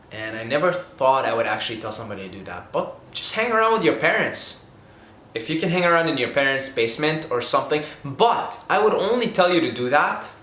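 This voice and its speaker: A man's voice: mid-range voice, a bit bright, a little hiss or hum. Young man from Syria, casual vlog talk, rambling, earnest, frequent restarts, echoey room. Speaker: vlogger giving money tips.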